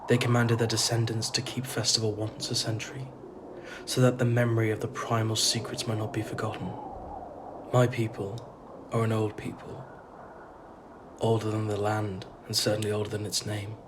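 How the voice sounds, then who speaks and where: A man's voice: lowish voice, monotone, moderate pace, natural voice. An actor playing a polite, uneasy traveller in an audio drama, earnest and hesitant in his delivery. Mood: solemn. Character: uneasy, polite male traveller narrating his strange homecoming. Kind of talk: audio drama narration and dialogue. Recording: clearly noisy ambience behind. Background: ambience noise.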